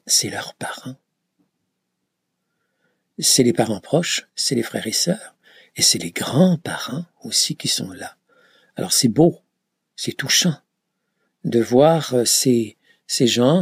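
Man, gravelly, hesitant, many filler sounds, slow delivery. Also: medium pitch, darkish tone, dry recording, clean studio sound. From Canada. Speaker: pilgrim recounting a journey. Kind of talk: reflective travel account.

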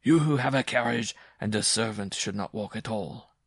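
A man voicing a sympathetic male circus bareback rider who talks in a soothing, persuasive way with a gravelly husky voice. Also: lowish voice, moderate pace, dry recording, studio quality. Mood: concerned.

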